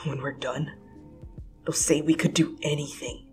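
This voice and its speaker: A woman puts on a brash, short-tempered young hero who growls his lines low and curt, in a gruff half-whisper. Every word lands like a command, with quiet music running behind the storybook reading. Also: fairly even intonation, measured pace. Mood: cocky, determined.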